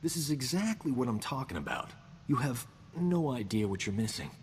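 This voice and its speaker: An actor playing a boastful, smooth-talking combat medic in a video game, joking and flattering himself. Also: male voice, mid-range voice, moderate pace, big-room sound, slight background noise, lightly acted. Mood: cocky. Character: cocky boastful man, a frontier combat medic. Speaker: companion character in a video game. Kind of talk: video game character dialogue.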